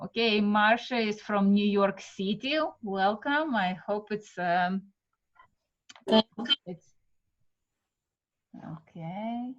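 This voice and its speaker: Slow-talking Russian woman teaching online. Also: medium pitch, lo-fi sound. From Siberia, Russia. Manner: halting, many fillers, warm welcomes. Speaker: art method instructor. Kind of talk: live online class introduction. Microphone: video call.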